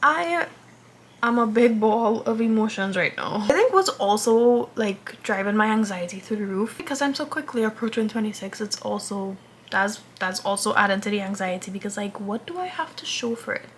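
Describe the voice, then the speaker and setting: A woman's voice: mid-range voice, lively intonation, normal speed, slight background noise. A woman in her twenties chatting casually while doing her makeup, rambling and interrupting herself, sometimes dropping into a whisper. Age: 20s. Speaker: vlogger.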